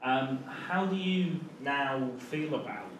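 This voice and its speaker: A man's voice: medium pitch, lively intonation, slow pace. A man in the audience asking a hesitant question, peppered with "sort of". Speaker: audience member. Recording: distant, echoey hall, hiss.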